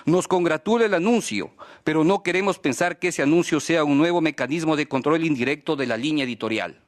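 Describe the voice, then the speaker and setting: Higher-voiced man reading a formal statement. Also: brisk. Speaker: press freedom advocate speaking at a human rights hearing. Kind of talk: formal prepared statement, read aloud.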